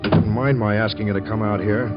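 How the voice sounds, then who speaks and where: An actor playing a naive, lovestruck rich young American bachelor in a 1940s radio comedy, stammering and halting as he talks. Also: lowish voice, fairly even intonation, lightly acted. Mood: smitten, nervous. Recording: muffled 1940s radio broadcast, music behind.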